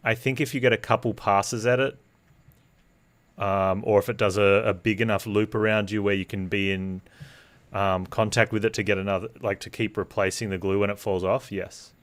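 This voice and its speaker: Low-voiced man streaming a game. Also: fairly even intonation, normal speed. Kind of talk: casual live stream chat with rambling commentary. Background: game music.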